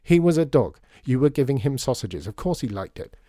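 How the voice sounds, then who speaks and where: A defiant storybook woman artist acted by a man, haughty and quick to anger. Pitch is raised and animated, the sound close and dry. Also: dry recording, natural voice. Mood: indignant. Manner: shouting, defiant. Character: haughty, hot-tempered female artist, quarrelling. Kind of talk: audiobook storytelling.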